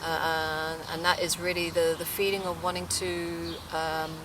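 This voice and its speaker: A middle-aged woman from the UK, answering interview questions thoughtfully, pausing and restarting often as she searches for words. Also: fairly low pitch, little pitch movement. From United Kingdom. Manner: hesitant, many pauses and restarts, thoughtful. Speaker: documentary interviewee. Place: outdoors in a city park or street. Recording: distant mic with outdoor noise. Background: city ambience, birds, passing traffic.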